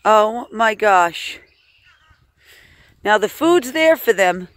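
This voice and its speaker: A woman's voice: medium pitch, animated, extremely slow, a bit bright, no echo. A woman filming a casual outdoor vlog, exclaiming in amazement and repeating her phrases as she narrates to viewers. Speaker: animal sanctuary host filming a vlog. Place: outdoors at a rural animal sanctuary.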